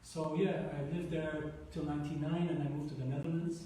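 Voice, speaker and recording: A man from Germany, a guest percussionist speaking calmly and at a measured pace, with frequent ums. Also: fairly high pitch. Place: music hall venue. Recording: echoey hall from far off, faint noise.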